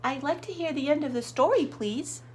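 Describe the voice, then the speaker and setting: A woman's voice: high voice, moderate pace, a little hiss or hum, pitched above the performer's own voice, lightly acted. A woman voicing an eager, curious young male dog in a children's storybook, urgent and pleading. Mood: polite, eager.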